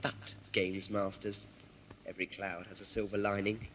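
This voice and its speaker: A man's voice: fairly low pitch, flat delivery, normal speed, fairly bright tone, slight background noise, natural voice. A man with a British accent acting a wry, reluctant schoolboy in an amateur audio drama, hesitant and dryly sarcastic. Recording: music under dialogue, home-made cassette drama.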